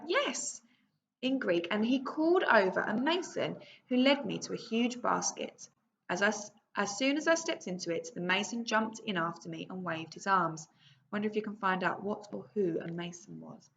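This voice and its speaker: A woman teacher reading a book aloud to her pupils in a video lesson. She reads slowly and clearly and stops now and then to ask the class questions. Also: medium pitch, a bit bright, highs cut off, a little hiss or hum.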